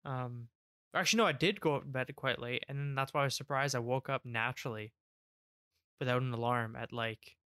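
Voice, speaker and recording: Smooth-voiced man, laid-back podcast co-host. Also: medium pitch, fairly quick, a bit bright, dry recording. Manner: laid-back, dry quips. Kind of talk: casual chat.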